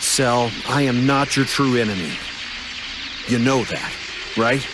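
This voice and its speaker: Man voicing an earnest, resolute hero, mid-pitched. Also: background noise, natural voice. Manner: serious, measured, firm. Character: earnest, resolute young male hero, protective. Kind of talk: dramatic anime game voice acting. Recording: music behind the voice.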